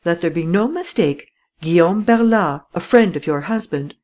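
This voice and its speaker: A woman reading an audiobook voices a clever gentleman thief who gives brisk, imperious instructions in a slightly raised voice. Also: fairly low pitch, lively intonation, lightly acted. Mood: commanding. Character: cool, commanding gentleman thief, clever and persuasive.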